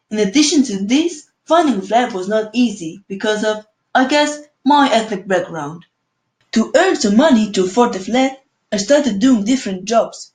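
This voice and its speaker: A woman's voice: mid-range voice, darkish tone, lightly acted. A woman voicing an earnest forty-year-old immigrant man. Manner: earnest first-person telling. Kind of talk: read-aloud school presentation. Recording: echoey room.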